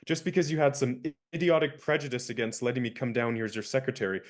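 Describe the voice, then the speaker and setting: A young man acting an indignant valet in a radio play, crisp and lecturing. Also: mid-range voice, fairly even intonation, fast, lightly acted.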